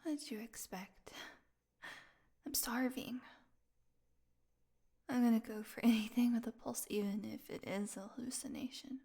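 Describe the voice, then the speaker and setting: A woman playing a starving, captive vampire in an audio roleplay, sardonic and proud but desperate, with halting, breathy speech that often falls to a whisper. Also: fairly high pitch, fairly even intonation, warm tone. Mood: weak, delirious, sardonic. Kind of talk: intimate dramatic audio roleplay.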